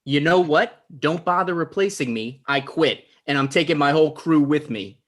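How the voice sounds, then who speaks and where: A man's voice: on the high side, moderate pace, fairly bright tone, close to an everyday voice. A man playing a needy, starstruck comedy show creator in a table read, in an earnest conversational way. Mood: defiant.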